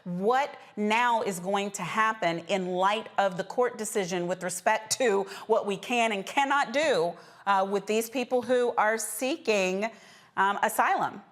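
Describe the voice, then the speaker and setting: A woman hosts a news talk panel with a mid-pitched voice. She phrases her questions and remarks deliberately, putting weight on key words. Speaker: talk show host. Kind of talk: panel discussion moderating.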